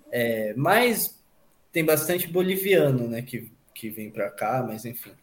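A man with a Brazilian accent hosting a podcast and casually putting questions to a guest. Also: fairly high pitch. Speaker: podcast host. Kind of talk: casual interview. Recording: echoey room sound.

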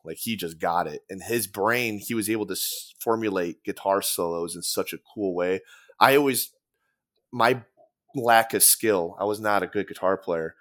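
Man with a very deep voice as a loose, rambling podcast guest who swears casually. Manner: rambling, many fillers and restarts, casual swearing. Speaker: podcast guest, former band guitarist. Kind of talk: casual conversation.

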